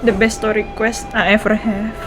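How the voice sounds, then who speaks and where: A young Indonesian woman with an Indonesian accent, chatting excitedly while reacting to a game. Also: medium pitch, moderate pace. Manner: excitable, chatty, laughs often. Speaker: gaming streamer. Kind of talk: casual let's-play reaction. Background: game music.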